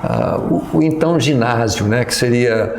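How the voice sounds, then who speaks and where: A man's voice: medium pitch, lively intonation, moderate pace, gravelly, echoey room, crowd noise. A middle-aged man with a Brazilian Portuguese accent, a pastor and professor recalling his past in an interview, talking hesitantly with many fillers and restarts. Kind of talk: reminiscing interview.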